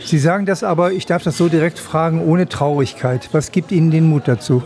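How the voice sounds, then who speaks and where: A man's voice: fairly high pitch, steady tone, fairly quick, a little mellow, faint music behind. A man, interviewing a guest for radio with long, elaborate questions. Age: 60s.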